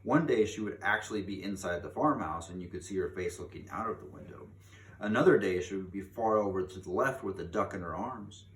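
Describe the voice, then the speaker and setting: A man's voice: low voice, close to an everyday voice. Guy doing an old granny from a storybook, serious and insistent, spells it out, echoey. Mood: mysterious. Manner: emphatic, deliberate storytelling. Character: very old, grave, insistent grandmother telling witch tales. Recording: echoey room, distant mic, faint noise.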